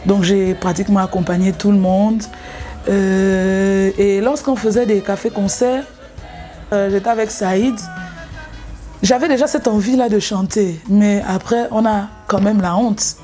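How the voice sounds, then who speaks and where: A woman's voice: mid-range voice, steady tone, measured pace, warm tone, faint music behind. A young woman from Gabon, a singer, telling her story in an interview, hesitant, with many fillers and restarts. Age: young adult.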